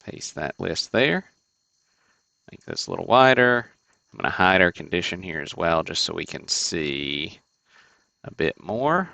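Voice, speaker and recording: A deep-voiced man hosts a card break stream from his desk, chatting casually and thinking aloud as he works. His voice has a rough, gravelly texture. The sound is dry and close to the mic. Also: sing-song, a little slow, pauses often, bright, forceful tone, dry recording. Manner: chatty, thinking aloud with many fillers and restarts. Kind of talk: casual livestream commentary.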